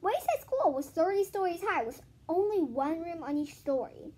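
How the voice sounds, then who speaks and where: High-pitched, childlike female voice, lively and informal. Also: normal speed. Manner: informal, muttering asides to self. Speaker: host reading a children's book aloud. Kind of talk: casual home vlog chat and amateur read-aloud. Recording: noisy home recording with clicks.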